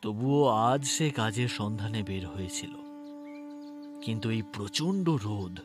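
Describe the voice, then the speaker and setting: A man narrating a literary story in a measured, expressive, dramatic way. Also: on the low side. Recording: music bed under narration. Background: background music.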